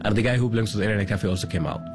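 A man in his twenties from Somalia, recalling a happy day in a lively storytelling way. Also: on the low side, rapid-fire. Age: 20s. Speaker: documentary interviewee. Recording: archival documentary clip with music bed. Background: music under the voice.

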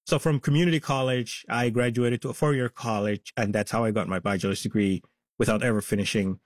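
A man's voice: mid-range voice, steady tone, brisk, no room sound. Reflective man in his forties, dry close sound. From Somalia. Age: 40s. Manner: reflective, plainspoken. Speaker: interview guest. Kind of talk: radio interview.

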